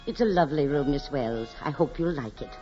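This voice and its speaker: An actress playing a grave, foreboding, gossiping housekeeper in deliberate 1940s radio style. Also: female voice, low-pitched, lively intonation, mellow, faint music behind. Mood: polite, knowing. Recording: dull 1940s radio recording.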